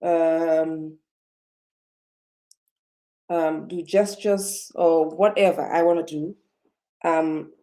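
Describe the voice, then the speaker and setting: Woman, non-native English, seated vlog to webcam, low mellow tone, halting with lots of ums. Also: steady tone. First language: not English. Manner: hesitant, many fillers and restarts. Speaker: self-help vlogger. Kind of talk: unscripted talk to camera. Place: indoors, seated. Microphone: webcam or computer mic.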